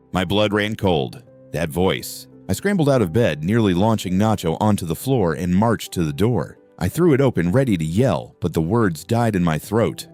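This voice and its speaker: Man, low voice, animated narration, wry storytelling, faint background music. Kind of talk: first-person audio story narration.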